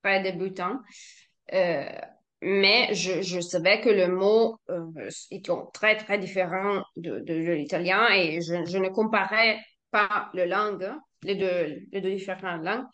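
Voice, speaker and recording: Slow, hesitant Italian-accented woman. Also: medium pitch, steady tone, a bit bright. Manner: hesitant, many restarts and fillers, laughs while talking. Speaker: language learner, guest. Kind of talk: casual conversation.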